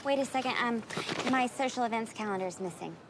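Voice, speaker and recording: A woman's voice: fairly high pitch, quick pace, noisy background, natural voice. An actress playing a bubbly young sorority woman, sunny and upbeat, with a chirpy, sing-song way of talking. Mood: perky. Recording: film clip with music underneath.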